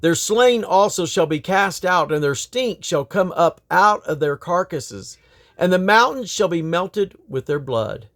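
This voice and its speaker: Higher-voiced man reading scripture aloud. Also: expressive, unhurried, fairly bright tone. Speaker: Bible reader.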